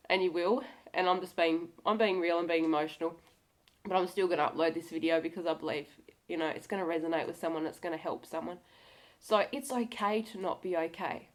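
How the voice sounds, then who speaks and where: A woman with a slightly nasal, cold-affected voice talking candidly to viewers in an earnest, direct and encouraging way. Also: lowish voice. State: has a cold. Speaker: video blogger. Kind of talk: candid personal talk to camera.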